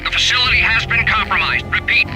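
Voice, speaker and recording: An actor playing a curt military mercenary making clipped calls through a walkie-talkie filter in a video game. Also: male voice, sits high, quick pace, low-quality recording. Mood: urgent, official. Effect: walkie-talkie radio filter. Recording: radio voice buried in music.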